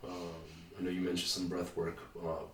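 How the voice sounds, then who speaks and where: A man interviewing a guest, asking hesitant, halting questions full of fillers. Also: sits low, completely flat delivery, a bit bright. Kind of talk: casual interview conversation. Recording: echoey room, distant mic.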